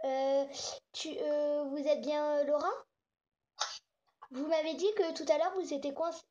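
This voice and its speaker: Young girl, very high voice, casual and slangy prank caller thinking aloud, muffled lo-fi home recording. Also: flat delivery, a little slow, dark tone. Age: child or young teen. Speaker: host making prank calls. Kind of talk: casual chat and prank phone calls.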